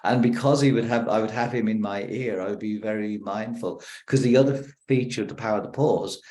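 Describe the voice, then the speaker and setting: A lower-voiced Irish man leading a communication workshop over a video call. He talks in a relaxed, conversational way and races ahead in quick bursts before settling into deliberate pauses. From Ireland. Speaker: professor giving a communication workshop. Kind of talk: conversational training talk.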